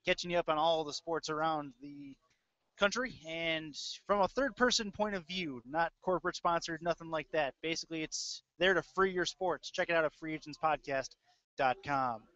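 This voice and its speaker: Dull-sounding, higher-voiced male football announcer. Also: normal speed, bright, forceful tone, dry recording. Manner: conversational between plays. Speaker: play-by-play sports announcer. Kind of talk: live football commentary. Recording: dull lo-fi audio stream.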